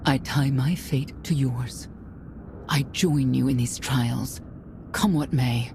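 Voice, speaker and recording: An actress playing a proud, dutiful older warrior knight in a video game, solemn and earnest. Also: female voice, a bass voice, takes it slowly, gravelly, noisy background, exaggerated voice. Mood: solemn, resolute.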